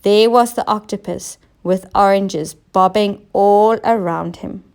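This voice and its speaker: A woman narrating a children's storybook in an expressive, deliberate way, with emphasis on key words. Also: medium pitch, creaky, smooth. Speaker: teacher reading stories aloud.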